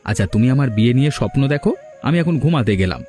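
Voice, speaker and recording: A man's voice: medium pitch, animated, racing, mellow, soft background music, natural voice. A man voicing a bashful, devoted young grandson in a cartoon. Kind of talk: cartoon voice acting.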